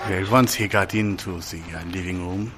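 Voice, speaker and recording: A man's voice: sits low, normal speed, background noise, close to an everyday voice. A man playing an officious, smug railway border official in an improvised comedy sketch, talking in hesitant mock-reasonable bureaucratic patter. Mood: mildly officious.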